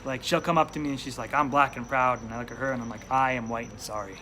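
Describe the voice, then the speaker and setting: A man's voice: mid-range voice, steady tone, quick pace, bright, forceful tone, slight background noise. A young man from upstate New York with a mild New York accent, a stand-up comedian chatting in an interview, rambling and hesitant with lots of fillers. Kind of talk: casual interview chat.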